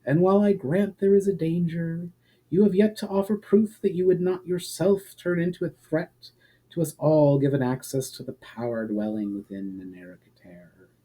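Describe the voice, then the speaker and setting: A man voicing a composed, knowing elf woman in a storybook reading, measured and grave. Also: fairly high pitch, warm tone, breathy edge, voice pushed up, poor audio. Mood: sharp. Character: composed elf woman, knowing, testing, faintly smug host.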